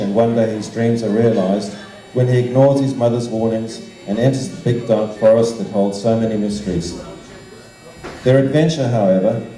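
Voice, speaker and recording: An older man giving a measured, formal prepared speech to an evening gathering. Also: lowish voice, warm tone. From Zimbabwe. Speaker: guest speaker, art teacher and children's author. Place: large indoor function room. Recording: roomy, echoing hall sound, distant microphone.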